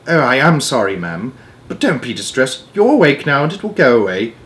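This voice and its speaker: A man voicing an older maid who is timid and deferential, speaking softly in a high voice. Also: big pitch swings, fairly quick. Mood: soothing.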